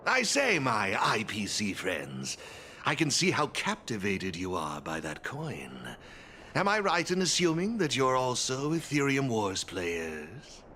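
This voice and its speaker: An actor playing a flamboyant masked gentleman in a video game, formal, courteous and theatrical, like a showman. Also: mid-range voice, wildly swooping pitch, unhurried, a little hiss or hum, heavily acted. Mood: suave. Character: flamboyant masked gentleman, smooth event sponsor and gaming enthusiast. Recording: background music throughout.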